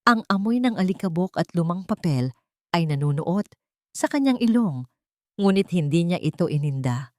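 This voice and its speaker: A woman with a Filipino accent reading a scripted love story as a narrator, in a dramatic, deliberate way. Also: on the low side, expressive, dry recording, clean studio sound.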